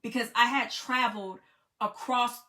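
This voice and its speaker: American lady telling her travel story, bright voice, casual and chatty. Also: fairly high pitch, normal speed. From United States. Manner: conversational, many "you know" fillers, grows excited. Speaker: travel vlogger and group trip leader.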